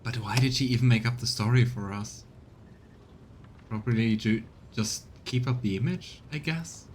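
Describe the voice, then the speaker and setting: A man's voice: mid-range voice, normal speed, slight background noise. A man giving casual commentary as a game streamer during a let's play. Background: music.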